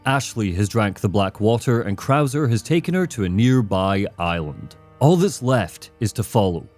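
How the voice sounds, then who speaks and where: A man's voice: lowish voice, expressive, moderate pace, darkish tone, soft background music. A man giving scripted video essay narration in a wry, opinionated way.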